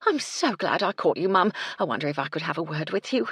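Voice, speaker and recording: A woman's voice: fairly low pitch, very animated, a little fast, a bit bright, husky, no room sound, clean studio sound, full character voice. A woman reading a fretful, homely older housekeeper, deferential and flustered. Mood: worried.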